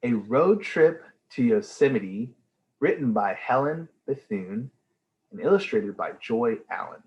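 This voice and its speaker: A man reading a storybook aloud for young children in an enthusiastic, expressive way. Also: medium pitch, measured pace, highs cut off. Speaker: read-aloud reader for children.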